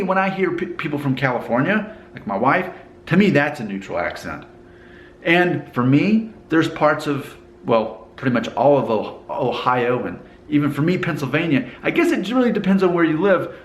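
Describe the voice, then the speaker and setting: A man's voice: mid-range voice, roomy sound. Man with a faintly Southern neutral accent. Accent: mostly neutral American with a faint Southern hint. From Alabama, USA. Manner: conversational, musing, asks the viewer questions. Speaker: vlogger. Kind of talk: casual talk to camera.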